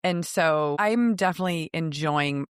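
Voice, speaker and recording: A woman's voice: low voice, moderate pace, clear, resonant tone, dry recording, clean studio sound. A middle-aged woman co-hosting a podcast, chatting in a casual, reflective, conversational way. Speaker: podcast co-host.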